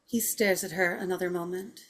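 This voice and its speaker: Woman narrator reading script directions, voice a little on the low side. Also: steady tone, fairly quick. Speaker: stage directions reader. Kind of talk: scripted narration at a table read.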